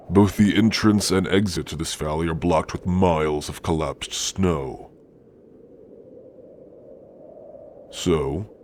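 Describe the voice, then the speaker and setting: A man voicing a grim, domineering orc warrior of the frozen mountains in a deliberate, menacing ASMR roleplay monologue. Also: low voice, darkish tone, slight background noise. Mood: gloating threat. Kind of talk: slow, scripted ASMR roleplay monologue addressed to listener.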